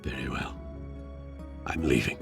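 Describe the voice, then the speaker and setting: A man voicing a stubborn, self-pitying fluffy engineer creature in a game, narrating in a theatrical, brooding, gravelly manner. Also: a bass voice, a little slow, music in the background, exaggerated voice. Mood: wounded, stubborn. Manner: theatrical, brooding, pompous delivery. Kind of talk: dramatic first-person game narration.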